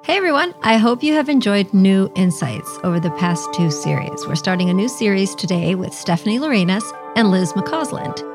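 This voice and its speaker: A woman hosting a podcast, explaining technology in a chatty, lively way. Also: mid-range voice, normal speed, few pauses, music in the background. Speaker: podcast host. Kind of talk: explaining tech casually.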